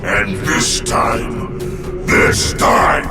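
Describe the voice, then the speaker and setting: A man voicing a furious, ancient evil mask spirit in a video game, with a gravelly, booming and grandiose delivery. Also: huge pitch swings, full character voice. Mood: menacing fury. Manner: grandiose, shouting, drawn-out menacing delivery. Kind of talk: video game villain acting. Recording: echoing distant voice under loud music.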